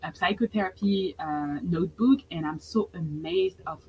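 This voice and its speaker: A woman's voice: on the low side, moderate pace, mellow, slight background noise, poor audio. A thirty-year-old woman, a business coach giving a motivational webinar and repeating phrases for emphasis. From France.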